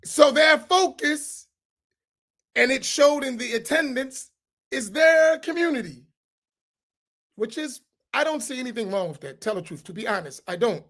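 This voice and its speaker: A man's voice: very high-pitched, expressive, measured pace, fairly bright tone. A middle-aged man from the United States, an imam speaking on a roundtable panel in an emphatic, preacherly way, often checking in with the audience with rhetorical questions.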